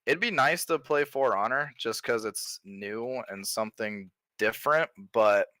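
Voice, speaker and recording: A man being interviewed as a streamer, speaking in a deep voice and chatting loosely with lots of "like". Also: measured pace, bright, forceful tone, no room sound. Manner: laid-back, loose, leans on "like" a lot. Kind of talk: casual interview chat.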